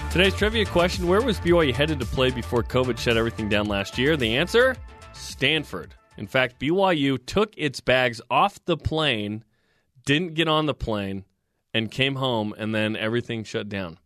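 A man hosting a sports talk radio show, recapping results and reading trivia in a steady, informative way. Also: medium pitch, moderate pace, soft background music.

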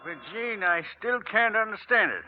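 A discouraged old uncle in a wheelchair, speaking in a folksy old-timer way. His voice sits a little high. The old radio recording sounds dull. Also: expressive, normal speed, loud music behind the voice. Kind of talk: 1940s radio acting.

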